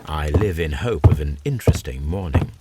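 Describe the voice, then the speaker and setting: Low-pitched British gentleman detective, Victorian, aloof, precise, formal, very varied intonation, video game cutscene. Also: animated, moderate pace, very noisy. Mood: confident, deductive. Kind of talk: scripted video game acting.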